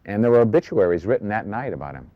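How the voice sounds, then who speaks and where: American male interviewee, deep voice, recollecting, dry lo-fi sound. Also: very animated, fast, no echo. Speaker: interviewee recalling his father.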